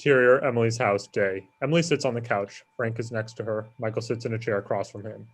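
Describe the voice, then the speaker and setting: A man's voice: medium pitch, fairly even intonation, fast talker. A man narrating screenplay stage directions in a plain, scripted reading style.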